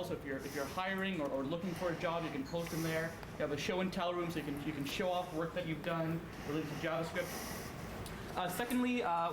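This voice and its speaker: A man makes casual announcements to a meetup crowd, with plenty of ums and uhs. His voice sits a bit high for a man, and it echoes around the room over clear background noise. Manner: casual, with many fillers. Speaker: meetup organizer making announcements.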